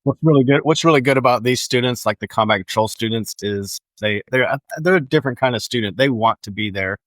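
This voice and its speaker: A man's voice: mid-range voice, quick pace, a bit bright, dry recording. A man speaking as an instructor in a casual interview, repeating phrases for emphasis. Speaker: air traffic control instructor.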